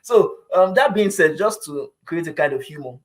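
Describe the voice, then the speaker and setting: A man's voice: fairly high pitch, expressive, normal speed, background noise. A man with a Nigerian accent giving informal explanatory advice like a vlogger, conversational and emphatic, often repeating phrases and checking that the listener follows.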